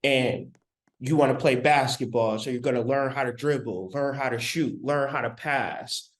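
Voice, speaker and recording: A man giving a spiritual lecture, speaking deliberately with long pauses and repeating key phrases for emphasis. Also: medium pitch. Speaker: spiritual teacher.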